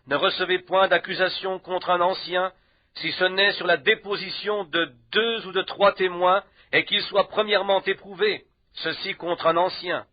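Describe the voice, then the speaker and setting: A man's voice: high-pitched, fairly even intonation, moderate pace, no room sound. A man delivering a sermon in an emphatic way, driving points home with short repeated affirmations. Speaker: preacher. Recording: dull, lo-fi, dry sound.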